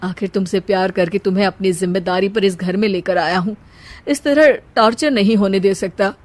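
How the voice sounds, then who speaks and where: A man's voice: high-pitched, fairly quick, natural voice. A man voicing a caring, protective young husband in a family cartoon, earnest and reassuring. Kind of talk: Hindi family cartoon dubbing.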